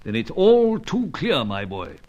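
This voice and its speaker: An actor playing a fussy, avuncular older British gentleman who runs a spy service, in a vintage radio serial. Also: on the high side, huge pitch swings, unhurried, muffled recording. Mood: probing, avuncular. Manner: blustering, avuncular old-boy phrasing.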